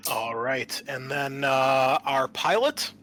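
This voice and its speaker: A man's voice: medium pitch, slow pace, a bit bright, soft background music. A man speaking as host and game master, narrating and explaining a tabletop roleplaying game.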